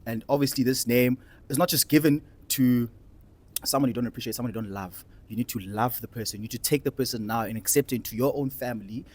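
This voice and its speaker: A man's voice: mid-range voice, fast, a little mellow. A young man with a South African accent hosting a casual discussion, telling personal stories with emphatic repetition. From Cape Town, South Africa. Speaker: discussion host and participant.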